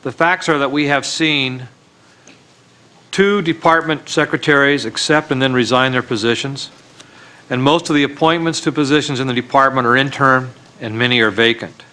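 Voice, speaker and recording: A man reads a formal prepared statement, listing facts one after another. His voice is bright and sharp at a middling pitch. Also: normal speed.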